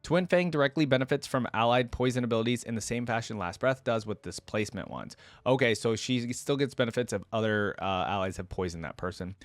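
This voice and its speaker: A man's voice: medium pitch, a little fast, hardly any pauses, no echo. A man giving casual reaction commentary as a video host, talking informally and often hedging or correcting himself. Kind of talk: casual reaction commentary, partly reading aloud from a wiki.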